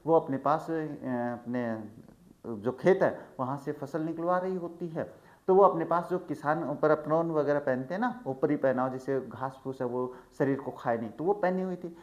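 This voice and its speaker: A male teacher in a chatty lesson, who reads English lines slowly and then explains each one in Hindi. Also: a little high, darkish tone. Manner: chatty, addresses students as "bhai". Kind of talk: line-by-line textbook explanation.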